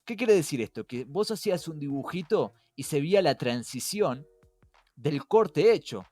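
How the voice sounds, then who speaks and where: A man's voice: fairly high pitch, expressive, moderate pace, mellow, no echo. A man with a Rioplatense Spanish accent hosting a casual podcast, explaining things in an engaging way with many rhetorical questions. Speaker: podcast host. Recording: music behind. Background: background music.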